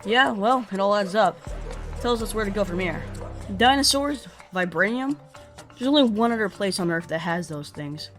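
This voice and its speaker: Woman voicing wisecracking superhero, medium pitch, quick-witted, quippy, comic dub, music underneath. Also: lively intonation, a bit bright, close to an everyday voice. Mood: wry, decisive. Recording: music behind the voice.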